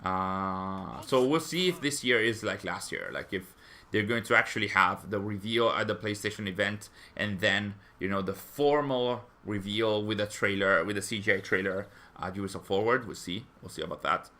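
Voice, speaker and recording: A man's voice: lowish voice, normal speed, sharp tone. A man hosting a fan live stream, chatting in a rambling, hesitant, conversational way and answering viewers. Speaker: fan channel stream host. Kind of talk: live stream chat, reading viewer comments.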